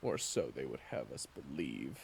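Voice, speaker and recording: A man playing a gruff, impatient hired hunter in a fantasy audio drama, brusque and sardonic. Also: very deep voice, very animated, normal speed, a little mellow, a little hiss or hum, exaggerated voice. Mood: dismissive. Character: gruff, impatient, blunt hired killer-hunter named Raven. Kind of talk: fantasy audio roleplay acting.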